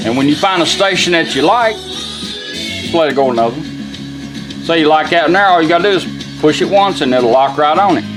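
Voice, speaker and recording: A man's voice: a little high, animated, a little fast, pauses often, poor audio. A man giving an informal, folksy hands-on product demonstration, explaining each step in an instructional way. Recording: music playing behind the voice.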